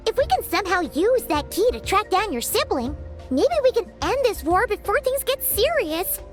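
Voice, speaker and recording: A woman's voice: very high voice, big pitch swings, normal speed. A woman voicing a small, chirpy fairy companion who talks about herself in the third person. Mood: hopeful. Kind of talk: video game voice acting. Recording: game audio with music behind.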